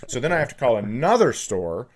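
A man's voice: mid-range voice, very animated, fairly quick. An American man co-hosting a podcast with casual comedic chat in a sardonic, sweary manner. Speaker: podcast co-host.

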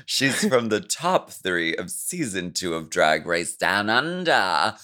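Gushing, lower-voiced man co-hosting. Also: very animated, slow, bright, forceful tone. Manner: enthusiastic, gushing, hesitant questions. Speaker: podcast co-host. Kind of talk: casual podcast chat.

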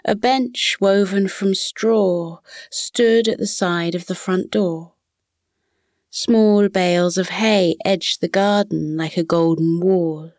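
Slow, soothing woman bedtime narrator. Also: fairly low pitch, steady tone, darkish tone, no room sound, studio quality. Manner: soothing, measured. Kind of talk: scripted children's bedtime narration.

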